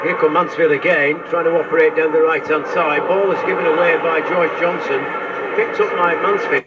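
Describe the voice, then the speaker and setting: A man's voice: on the high side, steady tone, normal speed. A man giving live football match commentary, heard through an old radio set. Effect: played through an old radio set. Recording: dull vintage radio speaker, very loud noise.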